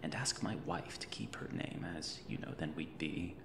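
A man's voice: sits low, normal speed, vocal fry. A man playing a creepy yet cheerful mad reanimator in an ASMR roleplay, talking in a theatrical, fussy, rambling way with polite macabre humour. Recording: roomy echo with noisy ambient backdrop.

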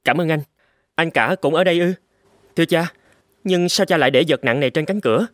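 A man voicing a brisk, impatient young samurai, the second son in a cartoon. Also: a little high, no echo, studio quality, natural voice. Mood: questioning. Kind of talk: dubbed cartoon storytelling. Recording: loud music under the voice.

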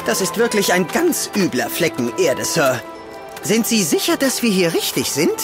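A man's voice: high voice, very animated, moderate pace. A man playing a wary, loyal, fretting valet in a German audio drama. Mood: worried. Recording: music behind the voice.

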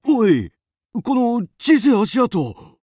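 A hearty farmer talks in rough country speech. He takes his time, and his pitch moves freely in this radio drama. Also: big pitch swings, takes it slowly, a little mellow, no echo, studio quality, heavily acted.

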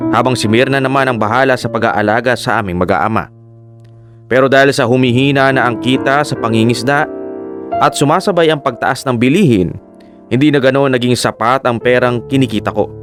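A man voicing a 35-year-old fisherman, a devoted family man, who tells his story at an even, thoughtful pace in a middle-pitched voice. Clear piano music plays under his narration. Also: natural voice. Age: 30s. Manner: measured, reflective storytelling. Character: hardworking fisherman father, 35, reflective. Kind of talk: scripted radio drama narration.

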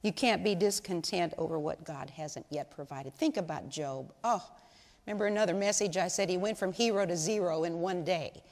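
A woman's voice: lowish voice, moderate pace, darkish tone. Older woman, lay speaker, chatty and folksy, audience questions, lapel mic, echoing sanctuary. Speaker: lay speaker at women's service. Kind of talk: informal church talk to a congregation. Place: large church sanctuary. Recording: long hall reverb in sanctuary.

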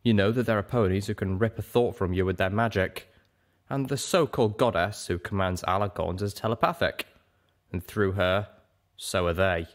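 A man's voice: fairly low pitch, normal speed, close to an everyday voice. Man voicing a grave, guarded old dragon. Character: huge, old, lonely, guarded male dragon. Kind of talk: audiobook character voice.

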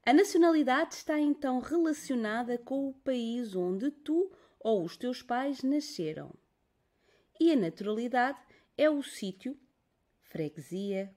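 A woman's voice: fairly high pitch, mellow. A woman from Portugal with a European Portuguese accent, patiently narrating a children's lesson. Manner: slow, patient, explaining to children. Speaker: teacher narrator.

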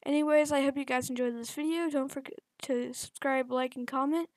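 A young woman hosting a casual software tutorial, talking haltingly with many fillers and restarts. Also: sits high, fairly even intonation, no room sound, studio quality. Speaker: gaming channel host.

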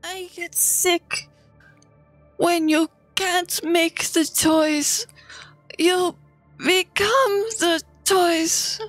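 A woman playing a frightened, traumatized child in a horror audio drama, speaking in halting, broken sentences. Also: very high voice, fairly even intonation, fairly bright tone, soft background music, full character voice. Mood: distressed, tearful.

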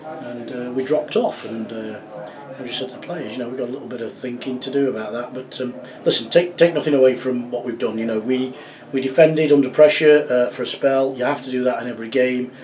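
A middle-aged man, a football manager, giving a measured, reflective post-match interview in a small club office, with many fillers and restarts. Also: medium pitch, a little mellow, distant mic, poor audio. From England.

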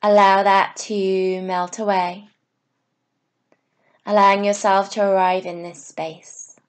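Slow-paced woman guiding a meditation. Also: mid-range voice, little pitch movement. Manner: soothing, unhurried instruction in short phrases with pauses. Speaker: yoga teacher. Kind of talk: guided meditation.

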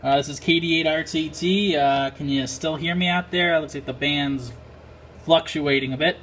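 A man's voice: a little high, steady tone, moderate pace. An American man talking casually and a little hesitantly as an amateur radio vlogger, on a handheld camera indoors. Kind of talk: casual vlog talk. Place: small apartment room, indoors. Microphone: handheld camera mic. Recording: clear background noise, handheld camera.

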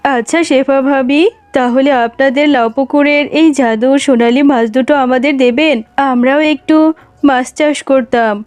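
A woman's voice: high voice, fairly even intonation, moderate pace, a little mellow, clear, resonant tone, no room sound, close to an everyday voice. A woman voicing a greedy female fox neighbour who coaxes, in a children's cartoon. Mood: coaxing. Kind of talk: Bengali children's cartoon dialogue.

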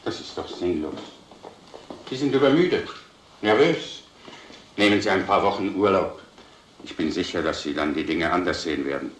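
A man plays an authoritative police commander in a vintage crime film. His very low voice is formal and dismissive. It was recorded with a roomy echo. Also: moderate pace, long pauses, close to an everyday voice. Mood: stern, dismissive. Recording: roomy old film soundtrack.

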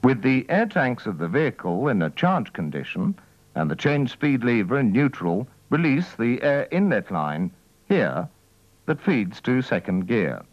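A man's voice: medium pitch, very animated, measured pace, dry recording. Man narrating a dull-sounding old training film. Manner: methodical, formal delivery. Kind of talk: scripted instructional narration.

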